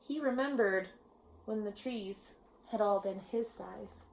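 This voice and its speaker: A woman reading a children's picture book aloud in a measured, expressive storytelling manner with pauses. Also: medium pitch, gravelly, a little hiss or hum, poor audio. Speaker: storybook reader. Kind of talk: children's read-aloud narration.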